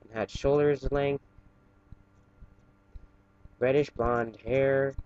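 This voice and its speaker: A man casually reading fan fiction aloud in a halting way, stumbling and correcting himself, with chatty asides. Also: medium pitch, fairly even intonation, a little mellow, smooth, dull audio.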